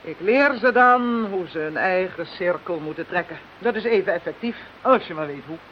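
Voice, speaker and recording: A woman's voice: lowish voice, expressive, normal speed, natural voice. A woman playing a practical, self-assured witch in a radio drama, explaining things in an assured, instructive way. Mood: confident. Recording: dull, hissy radio drama recording.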